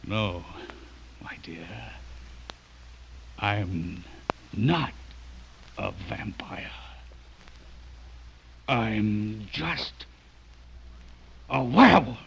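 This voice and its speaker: Low-voiced, suave, menacing secret werewolf. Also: male voice, animated, extremely slow, gravelly, pauses often, full character voice. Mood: sinister. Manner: suave, falsely courteous, patronizing. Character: smooth, menacing man secretly a werewolf, courting his victim. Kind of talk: old-time radio horror acting. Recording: muffled old radio recording, faint noise.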